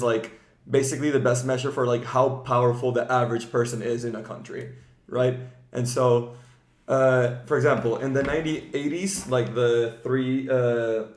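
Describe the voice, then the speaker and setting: A man's voice: mid-range voice, a little mellow. A man with a mid-range voice talks as a podcast host in a roomy, echoing space. His delivery is casual and conversational, informal and loosely phrased. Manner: informal, peppers talk with "like". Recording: roomy, echoing room sound.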